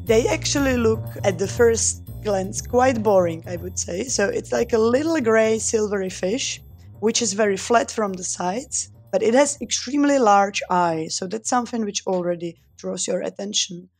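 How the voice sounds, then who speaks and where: A woman from the Republic, a research scientist giving thoughtful interview answers with frequent pauses. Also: medium pitch, darkish tone, faint music behind. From Czech Republic. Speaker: guest scientist.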